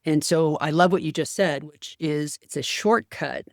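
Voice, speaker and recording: A woman hosting a podcast, reading a scripted introduction and then interviewing her guest with a soft, deliberate delivery. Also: deep voice, dry recording, studio quality. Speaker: podcast host.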